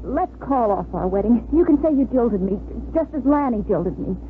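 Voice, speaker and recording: An actress playing an earnest, conflicted young bride-to-be in 1950s radio drama style, speaking hesitantly and dramatically. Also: female voice, a little high, lively intonation, moderate pace, lightly acted. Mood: wistful, confessing. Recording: tinny, noisy old radio broadcast.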